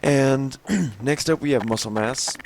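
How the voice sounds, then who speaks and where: A young man with a slightly raspy, tired voice, showing off a game mod in rambling commentary full of fillers and throat clearing. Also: medium pitch, measured pace, slight background noise, rough recording. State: slightly raspy from lack of sleep. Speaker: gameplay video host. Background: game gunfire and clicks.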